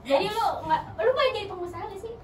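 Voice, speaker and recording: A woman's voice: very high voice, expressive. A young woman in her twenties, playful and giggly, hosting a casual joking chat while sitting on a floor. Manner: playful, giggly, quick banter. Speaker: host. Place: small room with foam panels, sitting on floor. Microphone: far camera. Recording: echoey room from far off, noisy.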